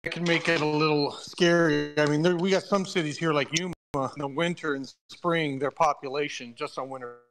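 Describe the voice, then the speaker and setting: A man's voice: on the high side, fairly even intonation, fairly quick, few pauses, over music. A man from Spain chatting casually as a participant in a live audio chat. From Malaga, Spain.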